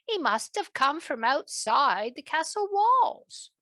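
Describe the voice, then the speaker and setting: A woman's voice: sits high, sing-song, slow, sharp tone, no echo, voice pushed up, full character voice. A woman voicing a matter-of-fact female kitchen helper from a storybook castle.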